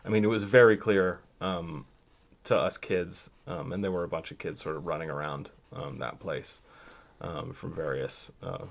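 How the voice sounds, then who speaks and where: A man speaking as a podcast guest, telling personal stories casually and thoughtfully, often pausing mid-sentence. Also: deep voice, steady tone, creaky. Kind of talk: casual, reflective personal storytelling.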